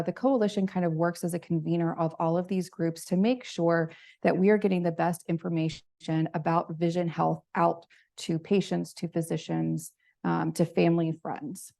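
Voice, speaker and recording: A woman's voice: fairly low pitch, vocal fry, mellow. A woman hosting a live event, presenting to an audience and then moderating a Q and A, upbeat with frequent fillers.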